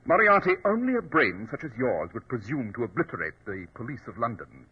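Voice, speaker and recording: An actor playing a shrewd, self-assured gentleman detective with a clipped, superior manner in 1940s radio style. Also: medium pitch, big pitch swings, brisk. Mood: tense, dramatic. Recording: dull, rough vintage radio recording.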